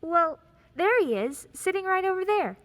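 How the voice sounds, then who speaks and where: Woman as chirpy small child, very lively intonation, high voice, long reverb, radio drama. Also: moderate pace, slight background noise. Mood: chirpy, sure. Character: chirpy, trusting little child Lizzie. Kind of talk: amateur radio play acting.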